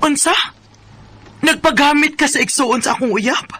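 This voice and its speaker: A woman's voice: high-pitched, moderate pace, pushed and bright, slight background noise. A woman playing a worldly, bossy older sister in a radio drama, talking frankly and chidingly. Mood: shocked, scolding.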